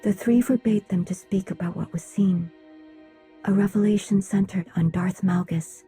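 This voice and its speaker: Dark-voiced, solemn alien envoy. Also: female voice, mid-range voice, steady tone, slightly rough, faint music behind. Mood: grave, formal. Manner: measured, formal, restrained. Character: solemn, reserved alien woman, a mystic's envoy of Voss. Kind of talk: video game cutscene dialogue.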